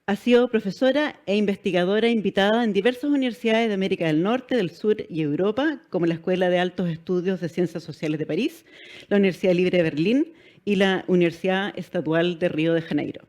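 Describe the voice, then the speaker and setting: A middle-aged woman with a Chilean accent, moderating a panel. She reads guest introductions in a measured way, with frequent "eh" fillers and small stumbles over names. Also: medium pitch, darkish tone.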